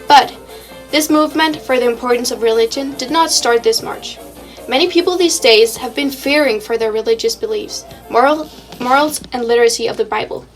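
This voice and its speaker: High-voiced young woman reading radio news. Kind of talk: scripted 1920s radio news, read aloud.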